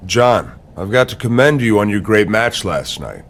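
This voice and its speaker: A man's voice: on the low side, very animated, normal speed. An actor playing a smug, scheming male wrestling general manager in a video game cutscene, with a condescending, pompous manner.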